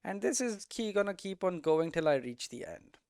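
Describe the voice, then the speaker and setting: Clear-voiced man explaining casually. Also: sits high, sing-song, normal speed, darkish tone, no echo, studio quality. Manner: thinks aloud, often checks in with rhetorical questions. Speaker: game developer hosting a tutorial series. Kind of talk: casual, unscripted explanatory talk.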